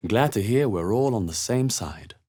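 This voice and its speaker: A man playing a wary, wry young adventurer in a video game, husky and musing to himself as he observes. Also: fairly low pitch, expressive, normal speed, warm tone. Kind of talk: video game character voice lines, muttered observations.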